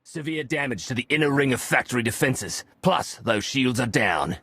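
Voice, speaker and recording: An actor playing a proud, boisterous game warrior who declaims grandly in a gravelly, husky voice. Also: male voice, mid-range voice, lively intonation, moderate pace, fairly bright tone, no room sound. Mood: urgent report. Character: boisterous warrior, proud teller of battle glory.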